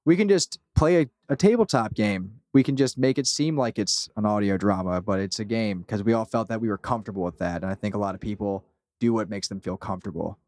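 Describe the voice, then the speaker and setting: A man's voice: fairly low pitch, fast talker, darkish tone, no echo. A man in his thirties chatting casually as an interview guest, candid and self-deprecating about himself. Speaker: podcast creator guest.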